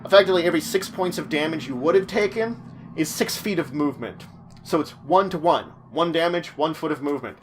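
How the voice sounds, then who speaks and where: A man with a fairly high-pitched voice hosts an informal roleplaying guide. He explains things to viewers in a chatty way, leaning on key words for emphasis. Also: fairly quick, faint music behind. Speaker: host of a tabletop roleplaying guide series.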